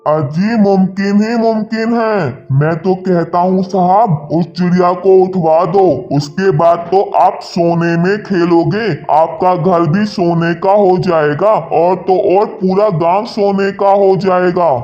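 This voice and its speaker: A man voicing a sly, flattering crow henchman who wheedles his master in a children's animated story. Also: high voice, slow, few pauses, muffled recording, soft background music. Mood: wheedling.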